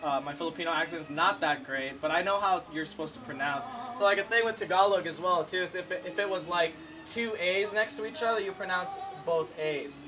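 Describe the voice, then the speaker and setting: A man's voice: sits high, brisk, rough recording. A young man chatting casually and cooking in a home kitchen, laid-back and slangy, swearing freely. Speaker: co-host cooking on the show. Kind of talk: casual chat while cooking. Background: music playing.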